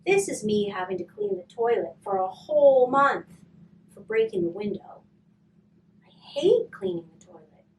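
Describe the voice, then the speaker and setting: A woman's voice: a little high, big pitch swings, a little slow, darkish tone. A woman voicing a moody, sulky young boy with emphatic complaining in a children's storybook. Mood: grumbling. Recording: roomy, distant mic, faint noise.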